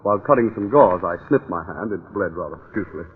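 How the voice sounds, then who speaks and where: An actor playing a composed, protective family doctor in 1940s radio drama, speaking formally. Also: male voice, deep voice, animated, fast. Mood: composed, solicitous. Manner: formal, explanatory. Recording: noisy, muffled old radio recording.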